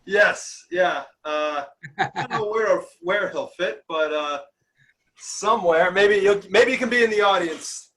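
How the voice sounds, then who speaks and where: A man who is a guest comedian on a casual video call chat, sounding relaxed and supportive. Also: high voice, measured pace, slight background noise.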